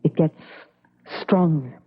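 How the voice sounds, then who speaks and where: An actress playing a subdued, haunted widowed university teacher in an old-time radio drama. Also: female voice, deep voice, slow pace, breathy edge, heavily acted. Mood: sad, uneasy. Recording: narrow-band vintage radio recording.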